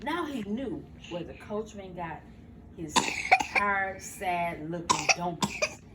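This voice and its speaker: A woman's voice: on the low side, lively intonation, slow pace. An older woman, a grandmother, reads a bedtime storybook expressively to children, stumbling now and then and correcting herself. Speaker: grandmother reading to her grandchildren. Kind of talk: animated bedtime storybook reading. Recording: roomy, noisy home recording, far from mic.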